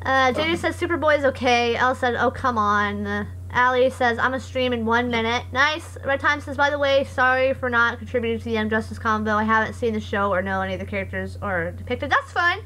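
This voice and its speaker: A young woman streamer with a hoarse voice, chatting casually and reading comments aloud in a rambling, sarcastic way. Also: a little high, a little fast, hardly any pauses, sharp tone, poor audio. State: hoarse after voice acting. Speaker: live streamer.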